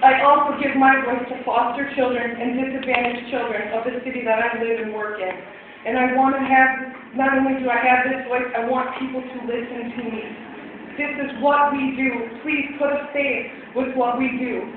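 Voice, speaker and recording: A woman's voice: high voice, little pitch movement, normal speed. Woman in her thirties, public testimony, emphatic and repetitive, tinny phone recording with faint noise behind. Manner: emphatic, repeats key phrases. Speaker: probation and parole agent giving public testimony. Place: large hall at a public hearing. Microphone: phone recording from far off. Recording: far-off echoey phone recording of a hall.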